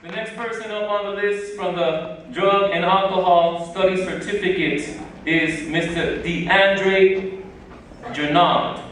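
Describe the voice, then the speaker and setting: A man's voice: high voice, fairly even intonation, slow pace, very noisy. A man emceeing a graduation, encouraging and drawing responses from the audience. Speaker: ceremony emcee. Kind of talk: introducing speakers at a graduation. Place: large theater. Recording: echoey theater from far off, with a loud crowd.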